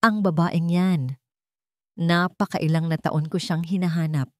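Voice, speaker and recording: A woman's voice: on the low side, fairly even intonation, measured pace, a little mellow, no room sound, studio quality, close to an everyday voice. Woman voicing a cold, commanding Filipino male CEO. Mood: cold, determined. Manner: curt, commanding. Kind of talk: audio romance story character dialogue.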